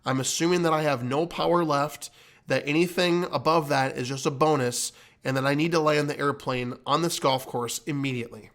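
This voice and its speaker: A man's voice: fairly high pitch, fairly quick, a bit bright. A man giving an explanatory commentary like an instructor, teaching emphatically and repeating key points. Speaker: flight instructor and host.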